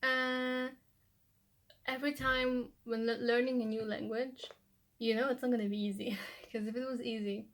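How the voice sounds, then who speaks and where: A woman's voice: on the high side, level tone, moderate pace. A young woman, a native Polish speaker, talks casually through her study tips in a loose, rambling way. She fills her talk with "like" and "you know.". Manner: chatty, loose, restarts often with "like" and "you know". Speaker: language-learning vlogger. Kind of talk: casual how-to vlog.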